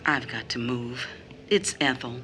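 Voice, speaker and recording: A woman's voice: extremely low pitch, steady tone, a little slow, over music. An actress playing a tired, numb, poor mother, wary and protective, with blunt questioning, in an audio drama. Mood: numb, grieving. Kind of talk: scripted audio drama acting.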